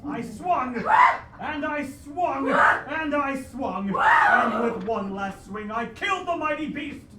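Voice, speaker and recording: A man's voice: very high-pitched, big pitch swings, slow, raised voice, a loud crowd, heavily acted. A man playing a heroic adventurer who narrates his dragon quest as a solemn, melodramatic old-time radio play. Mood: desperate, triumphant. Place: live theatre stage with audience. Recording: echoey live hall, distant mic, noisy.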